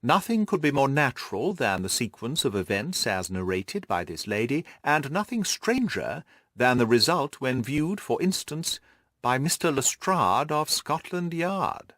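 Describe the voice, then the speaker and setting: Audiobook gentleman detective, played by a male reader: assured, methodical, very animated pitch movement, close dry sound. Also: measured pace, no echo. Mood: analytical, assured. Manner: precise, methodical, didactic explaining. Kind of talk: audiobook character dialogue.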